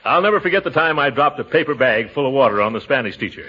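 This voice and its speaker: A man's voice: medium pitch, lively intonation, quick pace, slightly rough. A man playing a pompous, mischievous middle-aged uncle in 1940s radio comedy style, theatrical and given to indignation and hearty chuckles. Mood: nostalgic, amused. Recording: noisy, muffled 1940s radio recording.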